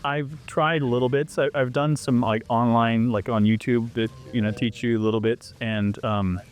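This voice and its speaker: Man, casual chat, expressive anecdotes, ordinary pitch. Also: moderate pace, slight background noise. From Canada. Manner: animated storyteller who acts out quoted exchanges. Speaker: interview guest, foreigner living abroad.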